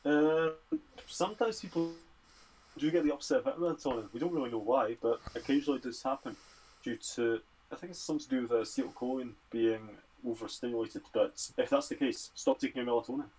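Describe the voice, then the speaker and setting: A man's voice: mid-range voice, fast talker, darkish tone, breathy edge, low-quality recording. A Scottish man who studies medicine, casually answering health and fitness questions on a livestream Q&A. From Scotland.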